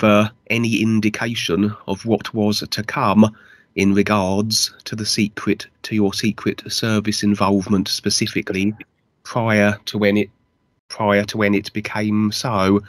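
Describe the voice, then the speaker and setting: Low, flat-voiced male interviewer. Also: slow pace, no echo. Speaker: host. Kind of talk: interview questions.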